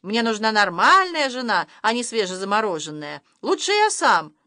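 A woman's voice: on the high side, sing-song, normal speed, pushed and bright, no echo, clean studio sound. A woman voicing a worried, fussy father in a children's story. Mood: fretful. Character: worried dad, company director.

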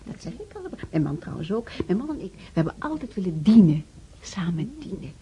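An actress playing a pious, well-meaning church visitor in a radio play, earnest and fussy, often repeating herself. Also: female voice, fairly low pitch, very animated, a little fast, dark tone. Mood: earnest, solicitous. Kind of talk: radio drama acting.

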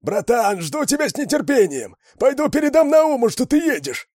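A man voicing a hoarse, wheezing male prison gang boss who yells excitedly over a radio. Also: very high-pitched, moderate pace, no echo, clean studio sound, voice pushed up, full character voice. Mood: yelling excitedly. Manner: loud and excited, yelling. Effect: radio transmission.

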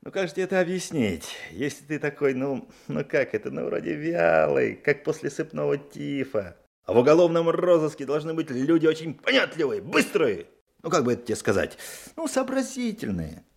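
An actor playing a pompous, skeptical policeman in a radio drama, explaining things in a patronising, emphatic, halting way. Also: on the high side, big pitch swings, creaky, heavily acted. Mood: condescending. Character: pompous, skeptical male police colleague, sneering at a novice.

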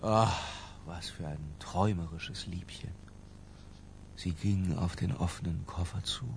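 An actor playing an obsessed, cultured middle-aged narrator in a literary radio drama, lyrical and intimate. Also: male voice, low-pitched, gravelly, slight background noise, heavily acted.

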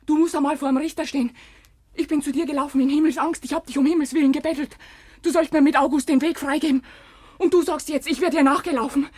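Woman playing a distressed young peasant woman, high-voiced. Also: steady tone, fast, a little mellow, dry recording, close to an everyday voice. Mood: furious, desperate. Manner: emotional, rustic speech. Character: distressed young peasant woman, wronged, torn between resignation and fury. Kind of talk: German radio drama acting.

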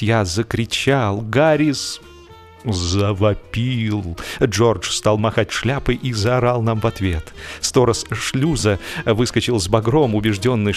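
A man with a mid-pitched voice narrates a humorous story. His delivery is theatrical and expressive, measured and wry, with soft music underneath. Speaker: audiobook narrator.

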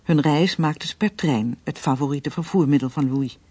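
Narrator for scripted radio play: dark, slightly raspy tone, very dry sound. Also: mid-range voice, normal speed, no echo.

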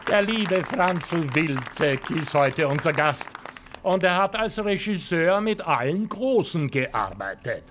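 An actor playing a jovial, gushing broadcast host with a singsong presenter's delivery in a radio drama. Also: male voice, a little high, animated, slow pace, over music, a crowd in the background. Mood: effusive, welcoming. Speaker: show host.

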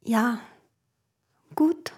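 A woman's voice: very slow, a little mellow. An actress in a radio drama playing a young woman, a compliant but slightly reserved lover. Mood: reluctant compliance. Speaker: actor in a radio play. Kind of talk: German radio drama acting. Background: music.

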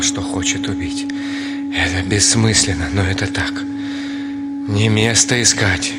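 An actor plays a troubled middle-aged gentleman in a Russian radio drama. His low male voice is deliberate and intense, moving between murmur and outcry as he questions himself. Loud music plays behind him. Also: natural voice. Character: brooding, agitated middle-aged gentleman reasoning feverishly with himself.

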